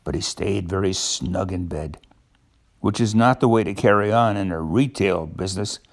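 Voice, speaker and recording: Older man, children's storybook narrator, slow delivery, creaky gravelly voice, expressive. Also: medium pitch.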